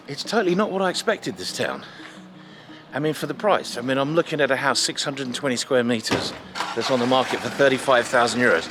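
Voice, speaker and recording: Excitable British man with music behind. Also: medium pitch. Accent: British English. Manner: chatty, excitable, talks while walking. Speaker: vlogger house hunting. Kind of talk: casual travel vlog. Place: outdoors in town streets. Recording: music playing underneath.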